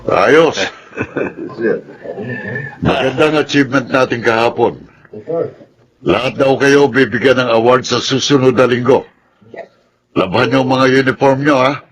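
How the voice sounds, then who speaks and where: A man's voice: medium pitch, a little slow, long pauses, lightly acted, rough recording. A Filipino man playing an encouraging, fatherly commanding officer in a radio drama, with an authoritative, reassuring way of talking. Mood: upbeat, commanding.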